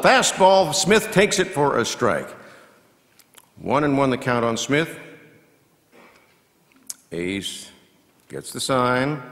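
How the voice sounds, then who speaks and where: A man performing a scripted baseball play-by-play as an announcer, in measured, clipped phrases that can swell into excited calls. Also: on the low side, creaky, exaggerated voice. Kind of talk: scripted radio-style baseball commentary, read as a performance. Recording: long reverb of a large hall.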